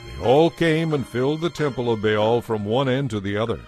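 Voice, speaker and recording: Male narrator, medium pitch, reading dramatized Bible story over light music. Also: moderate pace, darkish tone.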